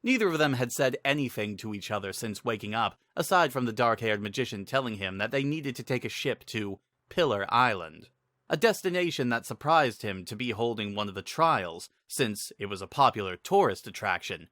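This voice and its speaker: A man delivers a dramatic reading of fantasy fiction as its narrator. His voice is bright and forward, captured in a dry recording at a middle pitch. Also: expressive, normal speed, no echo.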